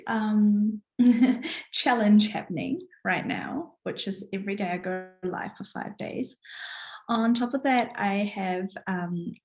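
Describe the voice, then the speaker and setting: In a roomy, echoing space, a woman speaks at a medium pitch with a strained, partly lost voice. She talks like a coach on a live stream, chatty and encouraging, addressing her followers casually. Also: a little mellow. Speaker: coach hosting a live online challenge.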